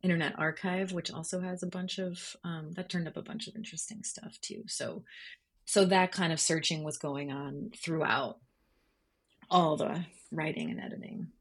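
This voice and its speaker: A woman with a low voice and a husky edge speaks as a guest in an interview. She explains her work in a conversational, thoughtful way, often searching for the right word as she goes. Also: fairly even intonation, normal speed. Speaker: journalist guest. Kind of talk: conversational interview, explaining their writing process.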